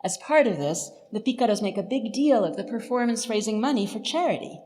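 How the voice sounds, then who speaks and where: Female professor, scripted scholarly talk, expressive mid-range voice, reverberant space. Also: on the high side, a little fast. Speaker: lecturer, professor. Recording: reverberant hall.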